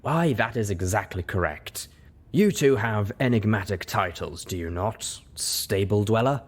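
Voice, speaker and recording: Man playing a refined low-voiced gentleman unicorn. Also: expressive, measured pace. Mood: polite, measured. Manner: refined, formal, not too haughty. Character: refined, polite, mysterious gentleman unicorn stallion.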